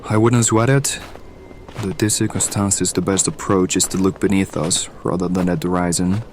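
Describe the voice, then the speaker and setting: An actor playing a pragmatic, seasoned survivalist hireling in an audio drama, matter-of-fact and instructive. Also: male voice, low voice, fairly even intonation, moderate pace, a little mellow, slight background noise, natural voice. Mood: practical, focused.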